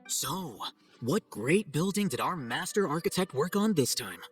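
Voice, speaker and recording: A man playing an arrogant young scholar in a video game, composed and deadpan, with a condescending dryness. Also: on the high side, measured pace, husky, faint music behind, heavily acted. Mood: taunting. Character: cool, arrogant young male scholar, dry and sardonic.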